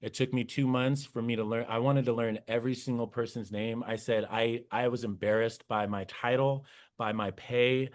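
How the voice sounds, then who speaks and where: A man's voice: mid-range voice, fairly even intonation, normal speed. A young man, a podcast guest, talking earnestly in casual interview chat and often restarting his sentences.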